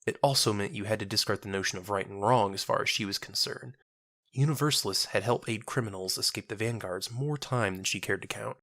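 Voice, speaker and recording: A man's voice: on the low side, a bit bright, dry recording, studio quality. A man narrating a scripted dramatic audio story.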